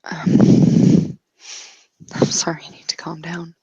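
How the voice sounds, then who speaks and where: Woman acting out a nervous apologetic woman, halting speech, low creaky voice, tabletop roleplay. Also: completely flat delivery, dark tone, no echo, whispered, lo-fi sound, full character voice. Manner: hesitant, halting questions. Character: nervous, apologetic young woman newly enchanted to see Fae.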